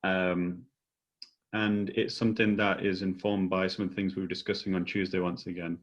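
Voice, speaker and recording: A man's voice: deep voice, flat delivery, normal speed. A man posing questions in a panel discussion, hesitantly and carefully searching for his wording. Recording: dull, lo-fi audio.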